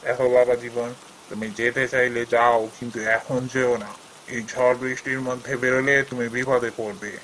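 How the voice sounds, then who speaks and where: An actor playing an elderly, calm and firm village landlord in a fairy-tale cartoon, speaking in a measured, fatherly way. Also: mid-range voice, slight background noise, lightly acted, low-quality recording. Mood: cautioning.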